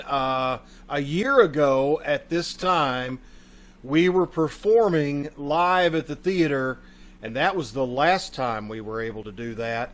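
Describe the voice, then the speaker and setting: A man with a voice a little higher than most hosts for a community theater troupe. He speaks informally and addresses the audience directly, in a casual welcome over a video call.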